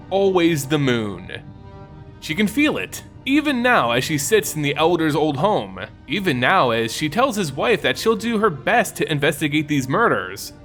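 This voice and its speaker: A male narrator reads a story with theatrical suspense. His fairly high voice rises and falls expressively, with deliberate phrasing and music underneath. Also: fairly bright tone. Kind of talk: scripted dramatic story narration.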